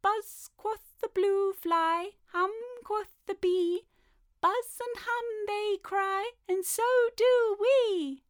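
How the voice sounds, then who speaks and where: A woman voicing bats with tiny shrill voices, chanting a mysterious rhyme. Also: very slow, no echo, studio quality, full character voice. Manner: shrill sing-song chant.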